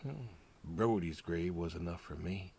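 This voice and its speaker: An actor playing a lonely, aging pig farmer, gentle and resigned, speaking hesitantly and wistfully in a naturalistic game performance. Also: male voice, low-pitched, measured pace, gravelly, a little hiss or hum. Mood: sorrowful. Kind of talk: naturalistic narrative game voice acting.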